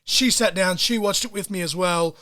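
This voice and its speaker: A man hosting a solo podcast, talking in an excitable, profane way full of wrestling-fan slang. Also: sits high, normal speed. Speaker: podcast host.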